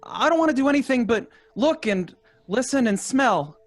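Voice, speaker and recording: A man's voice: extremely high pitch, expressive, normal speed. An actor playing a young boy who sulks and makes veiled threats toward his father in a live audio drama. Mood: pleading, sulky. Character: defiant young boy, the couple's son.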